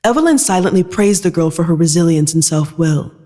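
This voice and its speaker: A narrator reading scripted audio fiction in a measured storytelling style. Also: mid-range voice, dark tone, big-room sound.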